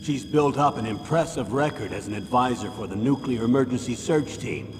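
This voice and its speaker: An actor playing an older, gruff military commander who briefs with formal, measured, grim authority in a video game cutscene. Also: male voice, expressive, lightly acted. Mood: stern, informative. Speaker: commanding officer. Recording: boomy reverberant hall, loud background noise.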